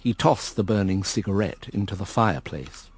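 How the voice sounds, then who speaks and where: An actor playing a weary, cynical invalid gentleman who narrates his own story in a radio drama, dry and understated. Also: lowish voice, animated, normal speed, slightly rough. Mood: reflective.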